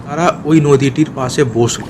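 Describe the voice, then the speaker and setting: A man telling a scripted horror story as narrator, in a measured, dramatic manner. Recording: clear noisy ambient rumble behind narration.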